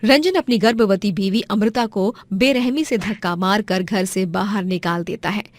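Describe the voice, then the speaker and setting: A woman's voice: mid-range voice, normal speed, no echo. A woman narrator reading a scripted moral story.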